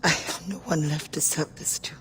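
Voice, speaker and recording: A woman's voice: low voice, steady tone, moderate pace, a bit bright, a little hiss or hum. A woman playing a proud, grieving rebel ally in a video game cutscene, restrained and wry. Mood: wistful, wry.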